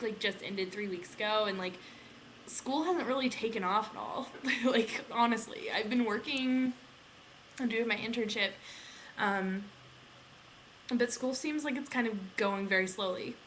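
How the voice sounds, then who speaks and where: A woman's voice: fairly high pitch, a bit bright, a little hiss or hum, low-quality recording. A young American woman chatting informally in a casual vlog, rambling and peppering her talk with filler words like "like" and "you know". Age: young adult. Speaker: vlogger, aviation student.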